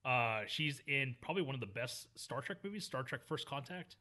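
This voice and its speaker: Bright-voiced man co-hosting a film podcast. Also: mid-range voice, animated, normal speed. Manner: enthusiastic, lists cast credits. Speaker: podcast co-host. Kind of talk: casual chat.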